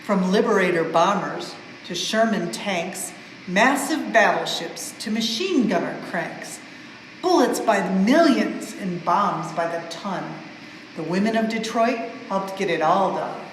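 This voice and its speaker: A woman reading a rhyming storybook aloud in an expressive, measured way, as for a museum storytime. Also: medium pitch. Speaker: museum staff member reading a children's book aloud. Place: indoors in a museum exhibit space. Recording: very echoey reverberant hall, faint background noise.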